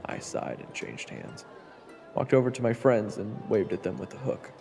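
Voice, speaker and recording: A man's voice: mid-range voice, expressive, moderate pace, vocal fry, darkish tone, big-room sound, soft background music. A man narrating a scripted first-person fantasy story for an audio roleplay.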